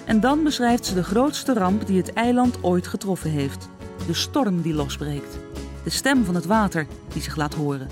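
A radio drama narrator reads a scripted recap. The voice sits at a medium pitch, and music runs clearly behind it. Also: expressive, moderate pace. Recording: music under the voice.

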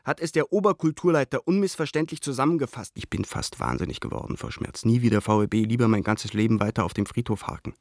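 An actor playing an embittered, indignant painter, venting a letter aloud in an agitated, sardonic and articulate way for a radio play. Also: male voice, mid-range voice, quick pace, few pauses, a little mellow, no echo, clean studio sound, lightly acted. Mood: indignant, bitter.

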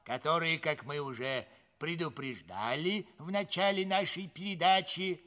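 An actor playing the presenter of a radio play, addressing listeners in a genial, mock-solemn, theatrical manner. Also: male voice, fairly high pitch, measured pace, sharp tone. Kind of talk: theatrical scripted radio narration.

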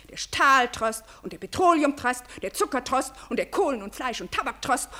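Gossipy, highly animated society lady. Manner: effusive, dramatic storytelling with emphatic drawn-out words. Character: gossipy, deferential aristocratic young lady informing a prince. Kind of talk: German radio play acting.

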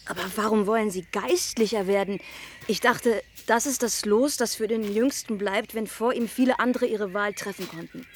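A woman's voice: medium pitch, moderate pace, close to an everyday voice. Woman playing a restless society girl, blunt and provocative, period radio play, faint nature noise behind. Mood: surprised. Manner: blunt, challenging. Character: worldly, outspoken young society lady, restless and provocative. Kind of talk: radio drama acting.

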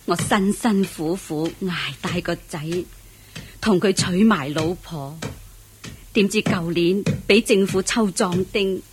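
Radio play: a worn-out old village granny, humble and plaintive, low and very unhurried. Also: female voice, a little hiss or hum. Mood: weary, sorrowful. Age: older. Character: poor, weary old peasant grandmother, widowed. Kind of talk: radio drama acting.

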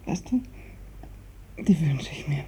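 An actress playing a gravely ill, bedridden woman in a 1950s radio drama, fearful and imploring, her weak, halting voice often sinking to a whisper. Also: deep voice, lively intonation, warm tone, full character voice. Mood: weak, wistful. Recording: muffled 1950s radio recording.

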